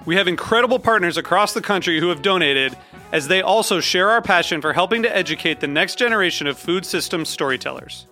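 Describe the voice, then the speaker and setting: A man's voice: sits high, expressive, fast, pushed and bright. A man reading a scripted fundraising promo. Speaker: promo announcer. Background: music.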